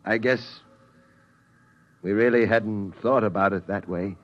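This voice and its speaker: A man's voice: on the low side, unhurried, close to an everyday voice. An actor playing a weary, wistful middle-aged father of a survivor family in a 1950s radio drama, speaking hesitantly. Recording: dull old radio broadcast recording.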